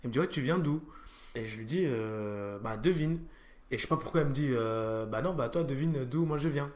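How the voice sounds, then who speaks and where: A young man from France hosts a relaxed conversation at a middle pitch. He hesitates often, restarting his sentences and filling pauses. The room around him is echoey. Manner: hesitant, many fillers and restarts. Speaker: podcast host. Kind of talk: casual chat.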